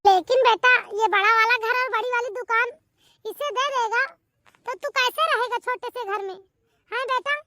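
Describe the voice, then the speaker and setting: A woman's voice: extremely high pitch, normal speed, sharp tone. A woman voicing a worried, doting elderly mother of grown children in a melodramatic cartoon, fretful and affectionate. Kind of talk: melodramatic Hindi cartoon family drama voice acting.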